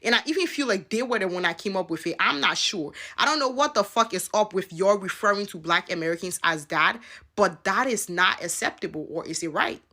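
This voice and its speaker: A woman with a bright, sharp voice talks fast and exasperated. She calls people out bluntly in casual slang, straight to the camera. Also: medium pitch, expressive. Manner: exasperated, calling out, uses slang. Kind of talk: casual social media video.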